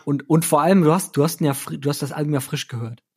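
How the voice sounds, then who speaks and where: A man co-hosting a podcast, discussing music casually in a measured, easygoing way. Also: a little high, husky. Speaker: podcast co-host.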